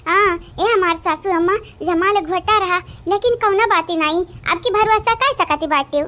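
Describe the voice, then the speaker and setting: An actor with an Awadhi accent voicing a chattering, dramatic village woman in a comic cartoon, in a childlike squeaky voice. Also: moderate pace, pushed and bright. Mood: animated banter.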